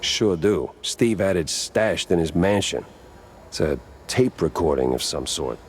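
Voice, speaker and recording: Deep-voiced wisecracking noir detective. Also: male voice, expressive, measured pace, a little hiss or hum. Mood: wry. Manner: wry, hardboiled. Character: gruff wisecracking 1930s noir private detective mouse. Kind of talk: hardboiled noir acting. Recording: music behind the voice.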